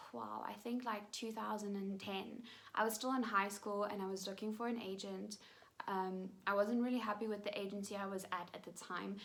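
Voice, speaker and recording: A bright-toned young woman from South Africa talks straight to camera in a loose, chatty vlog style. She rambles through her story with quick bursts and plenty of fillers, her voice crisp and lively. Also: mid-range voice. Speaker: actress and vlogger.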